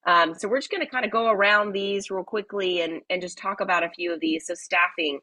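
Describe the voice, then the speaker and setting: A woman hosting a live webinar, talking in a friendly, chatty way with many fillers, slightly raspy from a cold. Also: medium pitch, brisk, bright, forceful tone, no echo, low-quality recording. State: hoarse from a cold. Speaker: webinar host.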